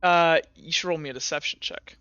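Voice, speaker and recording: Bright, rambling tabletop game master. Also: mid-range voice, fairly quick, clean tone, no room sound, highs cut off. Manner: rambling, many fillers and hedges.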